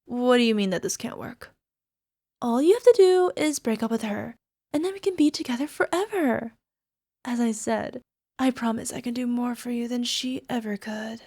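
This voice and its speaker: A lovesick young alchemist girl acted out by a woman speaks in a fairly high voice with no room echo. She coaxes coyly and sounds sweet with a possessive, menacing edge, in the style of an ASMR girlfriend roleplay. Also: moderate pace, dark tone, clean studio sound. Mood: hurt, insistent. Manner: coy, coaxing, giggly, tag questions. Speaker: voice actor.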